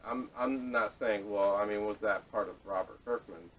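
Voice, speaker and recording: A man hosting a casual podcast discussion, speaking haltingly with repeated words, picked up by a distant camera microphone. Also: lowish voice, steady tone, slightly rough. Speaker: podcast host. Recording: distant, salvaged camera audio.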